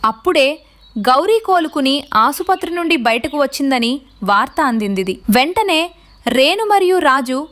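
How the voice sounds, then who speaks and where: A woman's voice: high voice, expressive, moderate pace, fairly bright tone. A woman giving scripted narration as the storyteller of a tale.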